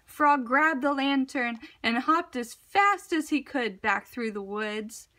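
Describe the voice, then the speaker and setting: A woman reading a children's picture book aloud in an expressive, deliberate, dramatic narration style. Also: high-pitched. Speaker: storybook reader.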